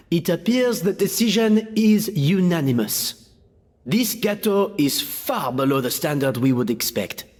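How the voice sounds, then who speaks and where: Game casting: grand male food judge, slow and animated, formal, reverberant over music. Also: high-pitched. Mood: disappointed. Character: theatrical, discerning man judging a cooking contest. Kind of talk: video game voice acting.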